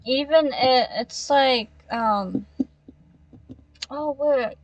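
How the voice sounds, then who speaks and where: A woman streaming a game with casual commentary, reacting and rambling in a halting way. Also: sits high, low-quality recording.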